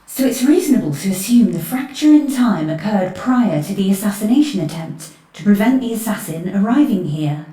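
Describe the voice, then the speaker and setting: A woman acting in an audio drama as a calm, intuitive investigator with a polite, measured, composed way of speaking. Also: medium pitch, lightly acted, rough recording. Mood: reasoning.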